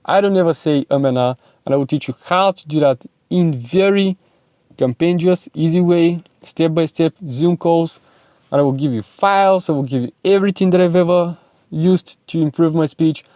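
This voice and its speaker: A young Bulgarian-accented man lectures with pushy, repetitive emphasis, his voice sitting a bit high yet mellow and soft-edged. The sound is close and dry, with no room echo. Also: moderate pace. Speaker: self-improvement vlogger and speaking coach. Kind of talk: motivational talk to camera.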